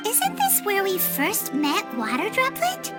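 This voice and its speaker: A woman's voice: extremely high pitch, huge pitch swings, measured pace, over loud music, full character voice. A woman playing a sweet, earnest, curious young girl adventurer in a video game cutscene, speaking thoughtfully. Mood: curious, awed.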